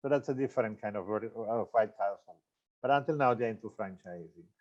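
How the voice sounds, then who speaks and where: A man's voice: on the low side, highs cut off. Older Austrian man giving a seminar. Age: 60s. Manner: loose, halting delivery with many fillers and self-corrections. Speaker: franchise consultant giving a seminar. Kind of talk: presentation seminar talk.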